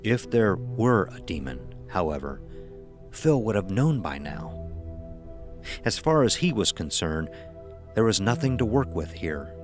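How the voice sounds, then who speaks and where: Low deep guy reading a horror story, measured n ominous, faint music under it. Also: sing-song. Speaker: narrator. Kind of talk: scripted horror story narration.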